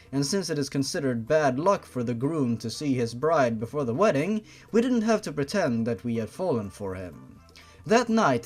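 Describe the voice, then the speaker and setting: A man with a slightly high voice that swings widely in pitch. He reads fiction aloud as a host who mocks it, sounding sarcastic and exasperated. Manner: sarcastic, exasperated, profane asides. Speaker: host reading and mocking fan fiction. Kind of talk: dramatic story reading with sarcastic commentary.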